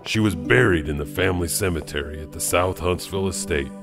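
Horror anthology host played by a man: wry storytelling janitor, lowish voice, clear music bed underneath. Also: fairly quick. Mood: ominous storytelling. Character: wry janitor host telling creepy urban legends. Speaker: host and narrator. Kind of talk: scripted horror anthology narration.

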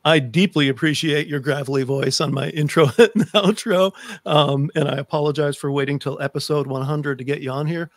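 A man's voice: fairly high pitch, steady tone, a little fast, dry recording. A middle-aged man hosting a conversational interview show, speaking close to a desk microphone. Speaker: talk show host. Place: home room lined with books, indoors. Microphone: close desk microphone on a video call.

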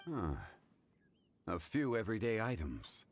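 A composed, learned man speaks in a very low voice with a formal, analytical care. He weighs every point in a measured way. It is a video game recording with a faint hiss. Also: big pitch swings. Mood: calm.

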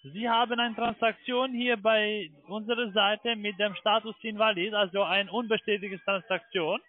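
High-voiced foreign-accented man on tinny phone. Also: steady tone, normal speed. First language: not German. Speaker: call-centre phone caller. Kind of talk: phone sales pitch. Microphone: telephone. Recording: tinny narrow phone line.

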